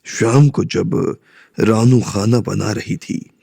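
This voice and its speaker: A man's voice: on the low side, slow pace, vocal fry, mellow, slightly rough, dry recording. A man reading a scripted story narration as an audiobook narrator.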